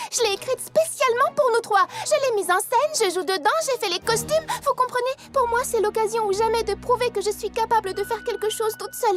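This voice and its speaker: A woman voicing an eager, proud little girl pony in a French cartoon dub, with an earnest, expressive delivery. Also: very high voice. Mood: pleading.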